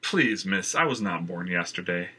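Brief: male narrator doing a smug, lilting theater boss, husky and bright, storybook reading. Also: sits low, lively intonation, normal speed, exaggerated voice. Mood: mocking. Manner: mocking, falsely wounded.

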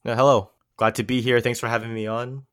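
A man's voice: mid-range voice, brisk, clear, resonant tone, dry recording, studio quality. A man in his twenties, raised in the United States, talking as a guest in a casual interview, loose and filled with "like" and "um". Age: 20s. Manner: relaxed, heavy use of "like" and "um".

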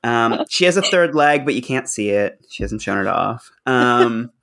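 Casting: male podcast co-host, medium pitch, informal and halting, casual chat delivery. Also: animated, a bit bright.

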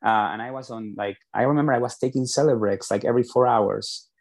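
A man's voice: medium pitch, quick pace, vocal fry. Peruvian guy, spanish accent, podcast guest, earnest, keeps restarting his sentences. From Peru. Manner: earnest storytelling with frequent restarts. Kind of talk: podcast interview.

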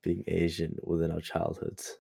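A teenage boy, co-hosting a casual chat in a steady, thoughtful way and asking follow-up questions. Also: deep voice, moderate pace, gravelly, breathy edge, no room sound, clean studio sound. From New Zealand. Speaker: co-host.

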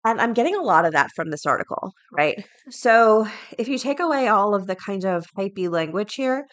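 A woman's voice: mid-range voice, expressive, normal speed, a bit bright. A woman hosting a podcast solo, talking casually and chattily, with informal asides and items read aloud. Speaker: podcast host.

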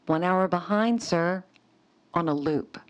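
A flinty young female lieutenant, played by an actress in a radio play. Her slightly low voice comes over a military radio, delivering short, disciplined reports in a clipped manner. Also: takes it slowly, dull audio. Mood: alert, dutiful. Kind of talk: radio drama acting.

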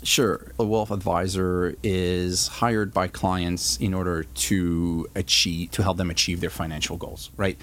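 A man from Switzerland with a Swiss accent, a guest wealth advisor giving measured, polite interview answers. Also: deep voice, flat delivery, a bit bright. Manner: measured, polite, laughs easily.

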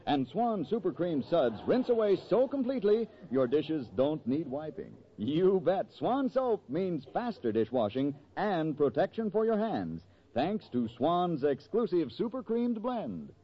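A man's voice: fairly high pitch, big pitch swings, a little slow, few pauses, a little hiss or hum. A man delivering an emphatic, salesmanlike 1940s radio commercial as announcer. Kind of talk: 1940s radio advertising pitch. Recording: dull vintage 1940s radio.

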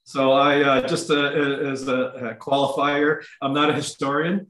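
An older American man whose first language is Ukrainian, chatting as a podcast guest, halting with many uh's and small chuckles. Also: mid-range voice, level tone, fairly bright tone. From United States. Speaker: podcast guest, doctor and lawyer. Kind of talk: casual interview conversation. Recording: echoey room.

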